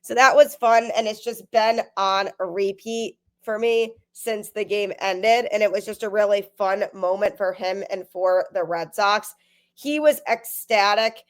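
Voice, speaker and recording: A woman's voice: mid-range voice, a little slow. A young woman hosting a sports podcast, talking energetically with a stuffy voice from a cold. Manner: energetic, emphatic. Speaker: podcast host.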